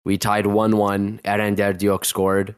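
Casting note: young male guest, low voice, dry close mic, loose rambling storyteller. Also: monotone, measured pace, clean tone, no echo. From Canada. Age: 20s. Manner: rambling, heavy use of "like", frequent restarts. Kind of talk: casual storytelling interview.

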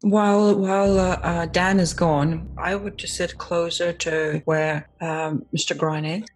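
A woman playing a tabletop roleplaying game, improvising in character with hesitant, halting phrasing. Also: on the low side, little pitch movement, low-quality recording.

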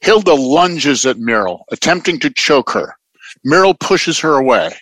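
A male announcer narrates scripted action for an audio play. His voice sits fairly high for a man and moves with lively intonation. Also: unhurried. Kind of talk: scripted narration of stage directions.

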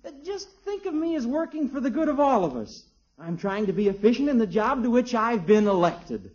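Officious young American husband, highly animated. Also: male voice. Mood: earnest, officious. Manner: formal, measured, mildly pompous. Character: earnest, officious young family man, politely self-important. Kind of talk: 1950s radio sitcom acting. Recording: dull vintage 1950s radio recording.

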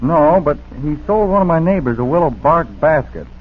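A man's voice: a little high, expressive, moderate pace. An actor playing an earnest, plain-spoken male burglary victim answering questions in a 1940s radio drama. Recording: husky, narrow-band 1940s radio recording.

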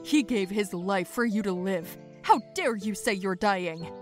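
A woman's voice: medium pitch, measured pace, faint music behind, close to an everyday voice. A woman voicing a distraught, overprotective mother with a scolding, emotional manner in a narrated story. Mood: hurt, commanding. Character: distraught, overprotective mother of a sick teenage girl.

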